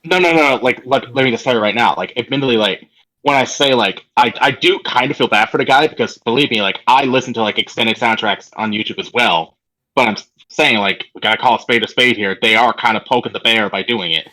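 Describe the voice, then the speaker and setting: A man's voice: medium pitch, brisk, bright, forceful tone, poor audio. A man in a casual gaming podcast chat, opinionated and slangy, repeating himself for emphasis. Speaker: podcast co-host. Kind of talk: casual chat about video games.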